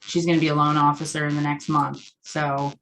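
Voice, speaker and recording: A woman with a low voice speaks as an interview guest, telling personal stories in a casual, conversational style. Faint room noise sits behind her. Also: little pitch movement, a little fast, a bit bright, rough recording. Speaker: interview guest, loan officer. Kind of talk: casual chat, personal storytelling.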